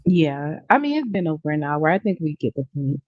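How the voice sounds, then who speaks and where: A woman's voice: low voice, big pitch swings, normal speed, no room sound, muffled recording. A woman panelist on a remote call, teasing and playful in casual chat.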